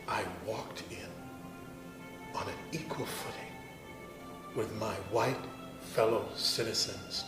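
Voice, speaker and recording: An impassioned, wide-swinging abolitionist orator. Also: male voice, medium pitch, fairly bright tone. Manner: oratorical, emphatic, with dramatic pauses and swells. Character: impassioned American abolitionist writer, man, reading his letter aloud. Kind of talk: theatrical dramatic reading of a historical letter. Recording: roomy, distant microphone, music.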